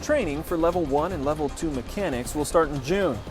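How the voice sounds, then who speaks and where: A man reading a company newsreel as its anchor, in a smooth scripted announcer delivery. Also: on the high side, fairly quick, music in the background. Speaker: news anchor. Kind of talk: scripted news narration.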